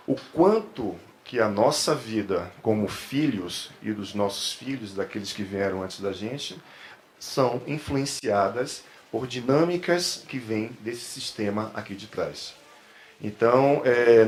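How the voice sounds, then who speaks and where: A man with a Brazilian accent from Bahia gives an informal explanatory lecture as a therapist and trainer, rephrasing and repeating words as he goes. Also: medium pitch. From Salvador, Brazil. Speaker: therapist and trainer giving a talk. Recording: clear background room noise.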